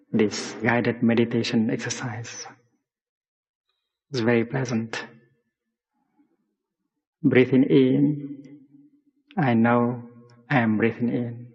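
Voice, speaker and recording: A man with a husky voice guides a meditation at a very slow pace. He speaks in short, gentle, deliberate phrases and leaves long pauses. His voice carries a long reverb, as in a big hall. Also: mid-range voice, steady tone, highs cut off. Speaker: meditation teacher leading a guided mindfulness exercise. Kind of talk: guided meditation talk to a community.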